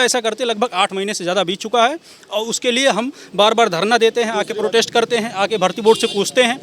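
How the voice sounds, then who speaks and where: A man's voice: high-pitched, fairly bright tone. A young man, an exam candidate making an earnest, articulate appeal in a street interview. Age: young adult. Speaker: job candidate at a protest.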